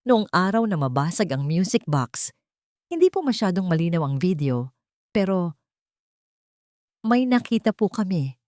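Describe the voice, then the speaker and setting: A woman's voice: lowish voice, very animated, a little slow, long pauses, a little mellow, no echo. Woman voicing a deferential Filipino security chief, dry. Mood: grave, hesitant. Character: deferential male head of security reporting by phone.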